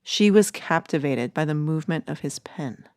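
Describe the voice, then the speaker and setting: A woman's voice: low-pitched, expressive, moderate pace, darkish tone, no room sound. A woman reading scripted narration as the host of a podcast.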